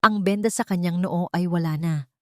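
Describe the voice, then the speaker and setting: A woman narrating a scripted dramatic story in a sentimental, measured storytelling manner. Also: fairly low pitch, warm tone, no echo, clean studio sound.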